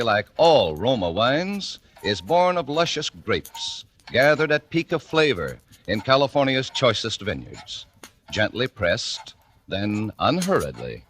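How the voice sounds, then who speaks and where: A man's voice: mid-range voice, a bit bright. A man working as a sponsor's announcer, giving a polished, scripted 1940s radio advertising read. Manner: polished, measured salesmanship. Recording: faintly noisy 1940s radio recording.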